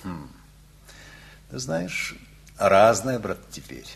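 Effusive, dreamy engineer, husky swooping voice. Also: male voice, lowish voice, big pitch swings, extremely slow, exaggerated voice. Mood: hearty, reflective. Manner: rambling, musing, emphatic. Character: earnest middle-aged engineer uncle, effusive and dreamy, easily flustered. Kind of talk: Russian radio comedy play acting.

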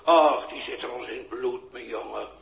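A man's voice: medium pitch, moderate pace, vocal fry, lightly acted. An actor playing a proud, tender old father in a 1960s radio drama. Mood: reassuring. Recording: echoey 1960s radio recording.

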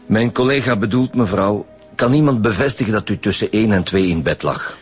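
An actor playing a sober, suspicious lead detective with a Flemish accent, formal and dry, in a radio crime drama. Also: male voice, fairly low pitch, brisk, soft background music, close to an everyday voice. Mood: stern, formal.

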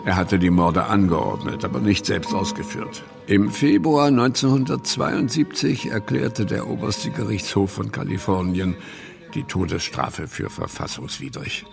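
A man's voice: on the low side, lively intonation, unhurried, vocal fry, full character voice. A man with pronunciation giving scripted, matter-of-fact documentary narration in a radio play. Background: music.

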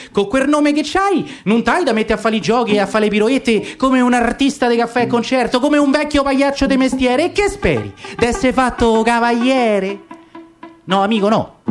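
A man's voice: very high voice, voice pushed up. A man voicing a cheeky, vain circus monkey in radio theatre, insistent and needling, with a Roman accent. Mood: self-satisfied.

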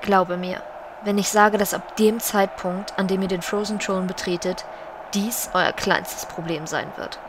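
A woman's voice: mid-range voice, steady tone, unhurried, noisy background, lightly acted. A woman playing a regal, solemn, commanding undead banshee queen in an audio drama, speaking in a stately, formal way. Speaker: voice actor in a parody audio drama.

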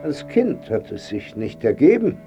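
An actor playing a frail, wry old painter in a radio drama, speaking tersely and reflectively as someone wistful and close to death. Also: male voice, medium pitch, big pitch swings, unhurried, muffled recording, over music. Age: older.

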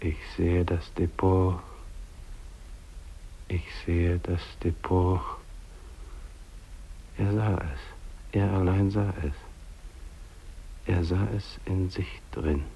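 An actor playing a grave, brooding polar explorer at the end, giving a solemn monologue in a 1950s radio play. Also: male voice, low voice, warm tone. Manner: solemn, measured, repeating phrases. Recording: dull, hissy old radio recording.

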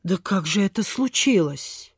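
Radio theatre lady of the house: gentle, compassionate, very lively intonation, husky edge, unhurried. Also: medium pitch, no room sound, studio quality. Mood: concerned, pitying. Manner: gentle, pitying. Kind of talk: mid-20th-century radio theatre acting.

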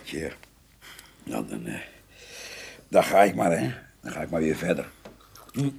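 An actor playing a hesitant, apologetic man in a radio drama, speaking haltingly. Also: very deep voice, very animated, vocal fry, full character voice. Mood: sheepish. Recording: noisy radio play with clattering crockery.

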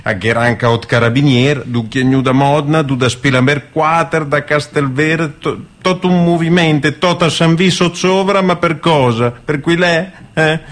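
An actor playing a stern, prying country priest hearing confession in a radio drama, scolding and questioning in a Modena-area Emilian accent. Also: male voice, a little high, a little slow, hardly any pauses, close to an everyday voice. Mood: grumbling, preachy. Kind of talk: radio drama acting in dialect.

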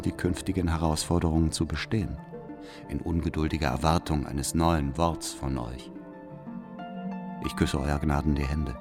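Casting: devout, scheming informant in historical radio drama, very deep, measured, deferential voice over faint music. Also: male voice. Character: devout, servile, calculating papal spy. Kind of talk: letter read as radio drama monologue.

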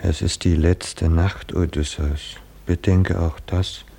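Low, mellow-voiced consoling companion. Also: male voice, fairly even intonation, takes it slowly, gravelly, slightly rough, heavily acted. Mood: grave, consoling. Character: short-sighted male companion of Odysseus, calm and consoling. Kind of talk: 1950s German radio drama acting.

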